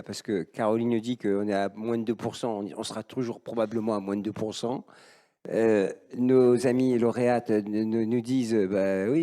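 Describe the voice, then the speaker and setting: A man's voice: on the low side, dark tone. A man moderating a live round-table discussion, thinking aloud in an informal, interjecting way. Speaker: panel moderator.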